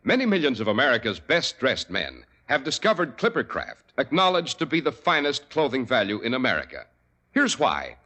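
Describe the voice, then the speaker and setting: A man announcing a 1940s radio drama and reading its sponsor's clothing pitch in a crisp, salesmanlike way. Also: huge pitch swings, moderate pace, sharp tone.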